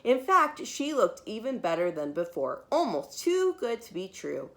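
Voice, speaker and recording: A woman reading a children's book aloud like a preschool teacher, expressive and emphatic, with dramatic pauses and small asides to her young listeners. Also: medium pitch. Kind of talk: animated read-aloud storytelling for young children.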